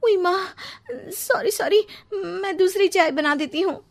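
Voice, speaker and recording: Woman acting a high-pitched fussy young daughter-in-law. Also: unhurried, creaky, exaggerated voice. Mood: flustered, apologetic. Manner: mixes English phrases into speech. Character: young fitness-obsessed daughter-in-law, fussy and easily flustered.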